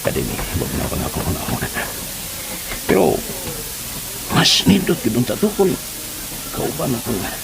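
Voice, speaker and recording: An actor playing a leering, flustered older Filipino uncle in a radio drama, stammering, hemming and exclaiming. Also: male voice, mid-range voice, wildly swooping pitch, moderate pace, gravelly, long pauses, noisy background, exaggerated voice. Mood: sly, musing.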